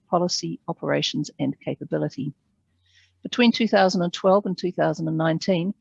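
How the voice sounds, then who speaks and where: A woman reading a formal introduction as a webinar moderator over a video call, measured and formal. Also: fairly low pitch, creaky, slightly rough, no echo. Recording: dull dry sound over a video call.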